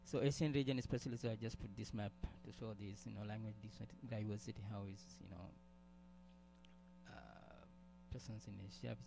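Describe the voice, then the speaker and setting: Gravelly Sri Lankan man presenting. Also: fairly low pitch, steady tone, moderate pace, music in the background, rough recording. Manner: hesitant, many fillers and restarts. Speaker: conference speaker. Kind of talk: conference presentation.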